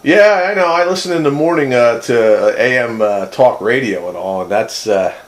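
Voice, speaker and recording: A man's voice: medium pitch, expressive, normal speed. A man chatting casually as host of a hobby radio roundup, rambling and often repeating his words.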